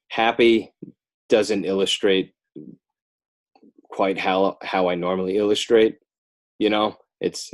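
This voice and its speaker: A middle-aged American man chatting casually as an interview guest, with a rambling, self-deprecating way of talking. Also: low voice. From northern New Jersey, United States. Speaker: podcast guest, children's book author and illustrator.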